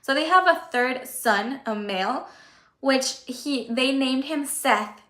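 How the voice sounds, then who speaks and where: A woman whose first language is Spanish, informally teaching a Bible study in a conversational, halting way. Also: high-pitched, a bit bright, roomy sound. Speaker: Bible teacher and channel host. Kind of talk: informal Bible study talk, reading and explaining.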